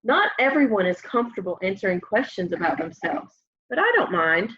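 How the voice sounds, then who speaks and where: A woman's voice: mid-range voice, big pitch swings, brisk, a bit bright, breathy edge. A woman reads a children's book aloud expressively at home, speaking simply as if to young children. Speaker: storybook reader. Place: room at home, by a window. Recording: slightly dull, lo-fi home webcam sound.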